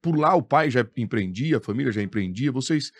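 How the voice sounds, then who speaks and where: A man's voice: mid-range voice, lively intonation, brisk, dry recording. Brazilian guy hosting a radio interview, mid voice, dry close studio sound. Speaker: radio host.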